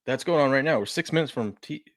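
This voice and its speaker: A man in his thirties hosting a live sports betting show, chatting casually and swinging between mumbles and loud hype. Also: mid-range voice, fast, no echo, clean studio sound. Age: 30s. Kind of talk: casual live-stream chat.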